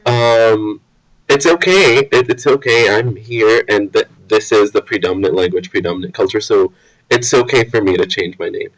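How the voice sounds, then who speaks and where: Low-voiced young man. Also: low-quality recording. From Kuwait. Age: young adult. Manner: hesitant, measured. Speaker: international student. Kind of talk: documentary interview.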